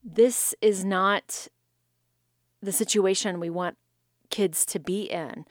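A woman's voice: mid-range voice, takes it slowly, no room sound. Woman radio host, dry studio sound, thoughtful, searching for words. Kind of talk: morning radio interview.

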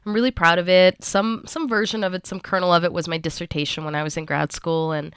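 Woman professor from miami, portuguese first language, low smooth voice, chatting in an interview. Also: steady tone, fast talker, fairly bright tone, dry recording, clean studio sound. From Miami, United States. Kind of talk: conversational interview answers.

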